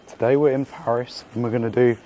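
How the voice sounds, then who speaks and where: A man hosting a casual food vlog while out in the city, his voice croaky from being ill, chatty and upbeat with lots of slang and fillers. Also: mid-range voice, steady tone, fast talker, dark tone, a little hiss or hum. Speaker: food vlogger. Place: out and about in city streets and a small eatery.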